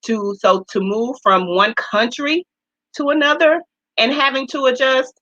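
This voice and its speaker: A woman's voice: high-pitched, a little slow. A woman hosting a casual interview, affirming her guest with frequent "mm" and "wow". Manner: warm-hearted, affirming, many "mm" and "wow". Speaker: host. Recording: dull lo-fi sound.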